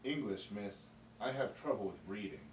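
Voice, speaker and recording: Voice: low-pitched, animated, moderate pace. A performer playing a polite, hesitant young male student in an amateur puppet show, giving short, simple answers. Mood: polite, plain. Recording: roomy, distant mic with faint noise.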